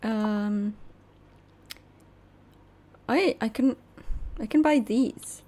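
A woman's voice: a little high, drawn-out words. A young woman playing a game and commenting casually, thinking aloud as she goes. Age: young adult. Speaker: gamer, host. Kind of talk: casual let's play commentary. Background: soft game music.

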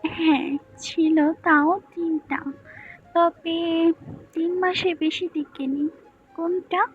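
A woman's voice: very high-pitched, steady tone, slow, phone-quality sound. A woman playing a blunt, bossy young newlywed wife in an audio romance story, with a brusque, scolding way of talking. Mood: curt, matter-of-fact. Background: music.

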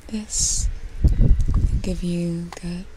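A young woman speaking in a soft, breathy, soothing whisper, intimate and close, in an ASMR roleplay style. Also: fairly low pitch, fairly even intonation, very slow, heavy background noise. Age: young adult. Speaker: ASMR video creator. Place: outdoors on a rocky sea cliff.

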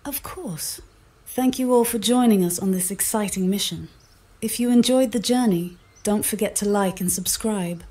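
Very mellow synthetic woman, polite AI assistant. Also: mid-range voice. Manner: polite, polished, formal phrasing. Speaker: AI mission companion. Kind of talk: scripted assistant speech.

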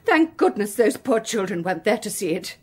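A woman playing a fluttery, anxious, tender-hearted spinster with a husky, breathy voice in a radio play. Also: mid-range voice, very animated, fairly quick, a little mellow. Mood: upset, fussy. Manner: fussy, emotional, flustered. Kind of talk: radio drama acting.

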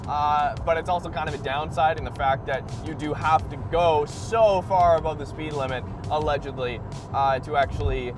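A young man vlogging from the driver's seat of a moving sports car, talking excitedly and laughing between lines. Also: on the high side, moderate pace, over music. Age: young adult. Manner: excitable, laughs often, says "like" and "ridiculous". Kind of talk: casual car review.

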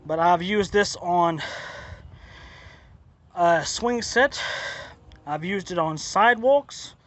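A man's voice: high-pitched, takes it slowly. A man giving a casual spoken product review outdoors, talking plainly and repeating his complaints, filmed on a handheld phone. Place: outdoors by a driveway and lawn.

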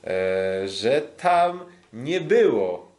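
A man's voice: fairly low pitch, big pitch swings, extremely slow, a little mellow. A man co-hosting a sports podcast in casual, unscripted talk, hesitant and often repeating himself. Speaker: podcast co-host and kickboxing commentator.

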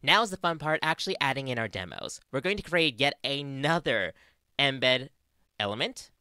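A woman's voice: rumbling low, expressive, normal speed, bright, forceful tone, dry recording. A young adult woman with an Australian accent presenting a scripted tutorial into a close studio mic. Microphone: close studio mic on boom arm.

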